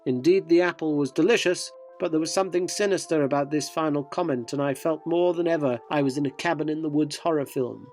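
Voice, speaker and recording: A man's voice: fairly high pitch, fairly quick, few pauses, darkish tone, soft background music, natural voice. A man playing an earnest, inquisitive magazine reporter in a radio drama, narrating and questioning with a dryly descriptive manner. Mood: uneasy, awed.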